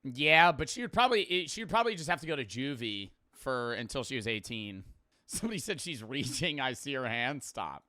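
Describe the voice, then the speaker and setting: A man's voice: mid-range voice, big pitch swings, brisk, bright, forceful tone, no echo. A man doing casual, slangy, joking reaction commentary with plenty of swearing. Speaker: host reacting to a video.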